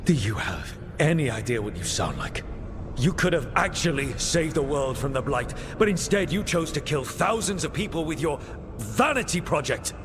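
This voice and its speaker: An actor playing an earnest, skeptical hero in a fantasy video game, plain-spoken and challenging. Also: male voice, fairly high pitch, sing-song, normal speed, long reverb tail, music in the background. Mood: indignant, challenging. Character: earnest, defiant young male hero, skeptical and blunt.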